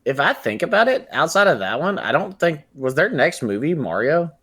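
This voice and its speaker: A man's voice: a little high, very animated, normal speed, sharp tone. A man co-hosting a podcast, talking in an informal, conversational style. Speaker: podcast co-host. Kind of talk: casual podcast chat.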